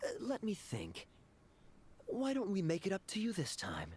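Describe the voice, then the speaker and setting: An actor playing a suave, teasing knight captain in a video game, with a sly, smooth manner. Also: male voice, high voice, wildly swooping pitch, normal speed, darkish tone, a little hiss or hum. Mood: amused, courteous.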